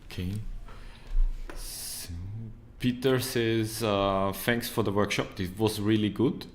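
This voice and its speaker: A man's voice: lowish voice, fairly even intonation, a little hiss or hum. A man moderating a live online Q&A, reading out viewer comments in an easygoing, joking way. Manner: slow and deliberate, joking, easygoing. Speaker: event moderator.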